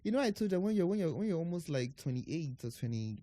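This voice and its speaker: A man's voice: on the high side, fast, no echo. A man in his twenties from Nigeria, co-hosting a podcast in casual chat, with a sassy, playful way of telling stories. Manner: sassy, playful, animated storyteller. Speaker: podcast co-host.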